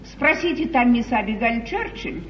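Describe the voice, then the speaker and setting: A woman's voice: fairly high pitch, expressive, normal speed, a bit bright, roomy sound. An actress playing a fretful yet imperious queen in a theatrical, declamatory mid-century stage comedy style. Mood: indignant, imperious. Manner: grand, declamatory, plaintive. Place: large theatre stage, echoing. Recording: muffled, hissy 1950s theatre broadcast, distant.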